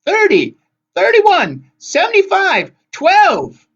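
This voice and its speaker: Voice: mid-range voice, wildly swooping pitch, measured pace, fairly bright tone, slightly rough, muffled recording, pitched above the performer's own voice, heavily acted. A narrator voicing quarrelsome ancient gray hag sisters who screech, wail and bicker in a storybook reading. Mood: panicked wailing. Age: ancient, thousands of years old.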